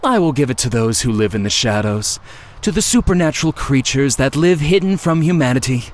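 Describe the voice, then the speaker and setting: An actor playing a scheming, taunting villain who craves chaos, speaking theatrically and condescendingly in a video game. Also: male voice, medium pitch, expressive, brisk, lightly acted. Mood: grandiose scheming. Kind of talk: dramatic video game voice acting.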